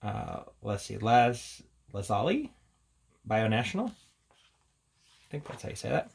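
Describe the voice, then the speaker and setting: A man with a fairly low voice and a noticeable gravelly fry gives casual, offhand reviewer commentary. He keeps it informal, like someone showing off an item on a tabletop. There is faint noise behind him. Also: sing-song, slow pace. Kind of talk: casual hands-on book showcase commentary.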